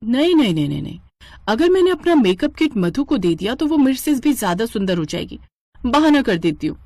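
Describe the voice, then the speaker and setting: Woman acting a vain, high-pitched jealous housewife. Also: big pitch swings, fairly quick, darkish tone, no echo, studio quality. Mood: evasive. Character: vain, jealous, greedy young daughter-in-law.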